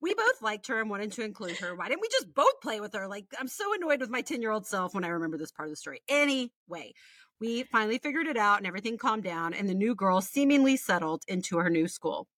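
A woman's voice: medium pitch, very animated, fast, fairly bright tone, no echo. An American woman in her forties, a podcast co-host reading a personal story aloud with candid, wry, chatty asides and casual swearing. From Texas, United States. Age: 40s.

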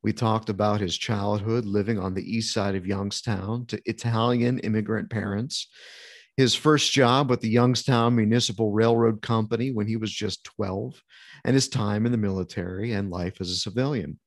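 A man's voice: lowish voice, steady tone, moderate pace, no room sound, studio quality. A man hosting a podcast, chatting in a friendly, conversational way and then starting an interview. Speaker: podcast host.

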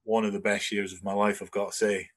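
Man, 40s, scottish accent with english traces, low voice, flat delivery, rambling chat, podcast guest. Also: fast talker. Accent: Scottish with some English traces. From Scotland. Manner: rambling, chatty storytelling with fillers. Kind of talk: casual interview chat.

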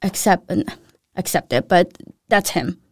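A woman's voice: fairly low pitch, animated, measured pace, husky, no room sound, studio quality. A woman hosting a casual interview, who affirms her guest often and invites her to explain. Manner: warmly encouraging, frequent affirmations. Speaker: podcast host.

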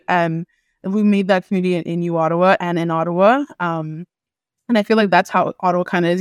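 A woman's voice: on the low side, no room sound, studio quality. A young woman from Canada and the Caribbean, chatting casually on a podcast, laughing often and filling her speech with "like". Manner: laughs often, many filler likes. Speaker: podcast guest. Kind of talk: casual group conversation.

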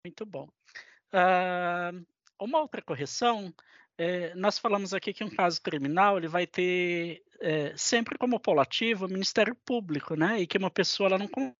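A woman's voice: on the low side, normal speed, no room sound. A woman with a Brazilian Portuguese accent casually moderating as a podcast host. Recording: dull lo-fi, dry close sound.

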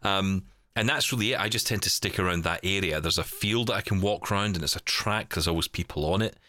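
A man's voice: low voice, fast, sharp tone, no room sound. A man in casual, reflective conversation, explaining things in a rambling way with tag questions. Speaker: podcast co-host.

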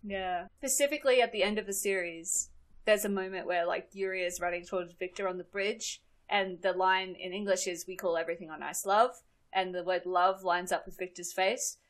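A woman's voice: fairly low pitch, fairly even intonation, a little fast. A woman in her thirties with an Australian accent, a guest chatting on a podcast and often laughing. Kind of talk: casual podcast chat.

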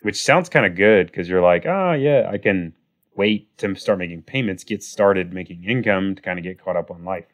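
Low-voiced raspy male host with a cold. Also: normal speed. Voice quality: raspy, nasal. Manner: relaxed, conversational, with fillers. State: has a cold, raspy voice and clogged nose. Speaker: podcast host. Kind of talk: casual podcast chat.

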